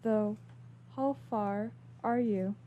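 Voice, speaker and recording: A woman's voice: medium pitch, fairly even intonation, drawn-out words, narrow, tinny audio, slight background noise. A woman voicing a dreamy, playful young noblewoman archer in a storybook reading.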